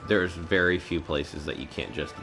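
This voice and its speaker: A man's voice: deep voice, steady tone, moderate pace, over music. A man doing casual live commentary as a game streamer, muttering informal reactions.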